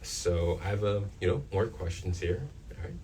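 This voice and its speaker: A man's voice: low voice, steady tone, moderate pace. A young man working as a student radio host, chatting casually and informally. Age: young adult. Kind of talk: casual off-air chat between radio segments. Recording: distant mic, loud background noise.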